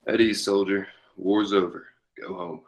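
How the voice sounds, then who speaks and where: A man playing a menacing outlaw gang leader and former cavalry captain in a western table read, speaking in a taunting, deliberate way. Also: deep voice, fairly even intonation. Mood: weary, curt.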